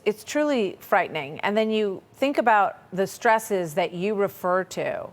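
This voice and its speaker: A woman hosting a broadcast news interview, speaking in an earnest, serious manner. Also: medium pitch. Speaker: news host interviewing a guest.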